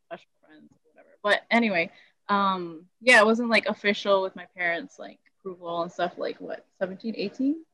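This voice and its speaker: A woman's voice: mid-range voice, fairly bright tone, no room sound, low-quality recording. A woman co-hosting a church podcast, chatting casually, rambling with many "like"s and laughing often. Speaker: podcast co-host. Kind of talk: casual chat.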